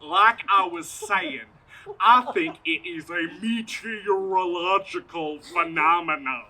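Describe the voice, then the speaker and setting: A man's voice: high voice, takes it slowly, sharp tone, exaggerated voice. A man playing a folksy, grumbling, chauvinist farmer husband in a comedic stage radio play. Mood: indignant, stubborn. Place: outdoors, parking lot stage. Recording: outdoor live stage performance.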